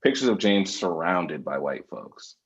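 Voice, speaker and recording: A man's voice: sits low, big pitch swings, measured pace, husky, lo-fi sound. A man, the writer, reading out stage directions as narration at a script table read.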